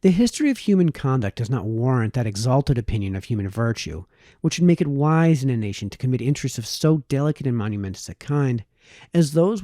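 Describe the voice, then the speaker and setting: Male reader, formal essay narration, soft mellow tone, expressive pitch movement, dry close sound. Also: no room sound. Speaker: audiobook reader. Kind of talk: reading a formal historical essay aloud.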